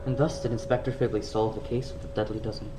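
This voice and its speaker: A man's voice: medium pitch, fairly even intonation, quick pace, over music, natural voice. A man playing a dramatic radio announcer, reading scripted show links and bulletins. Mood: formal, announcing. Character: dramatic male announcer of radio mystery and news. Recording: echoey room, distant mic.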